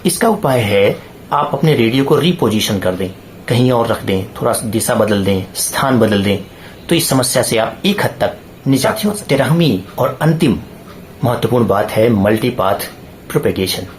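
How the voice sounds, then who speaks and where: A man's voice: mid-range voice, animated, moderate pace. Indian man explaining, with background noise. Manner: didactic, asks and answers own questions. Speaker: presenter of a radio hobby tutorial. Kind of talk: explanatory tutorial, point by point.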